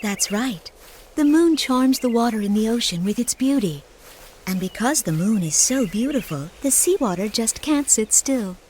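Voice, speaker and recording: An actress playing a gentle, wise mother in a game cutscene, patiently and tenderly explaining things to her young child. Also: a little high, lively intonation, moderate pace, slight background noise, natural voice, poor audio. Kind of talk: scripted game cutscene dialogue.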